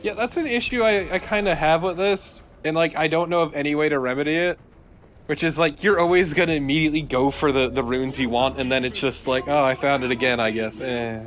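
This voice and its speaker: A man's voice: fairly high pitch, brisk. A man co-commentating on a game in casual, joking banter. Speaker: let's play co-commentator. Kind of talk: casual banter over gameplay. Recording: loud game noise behind voice.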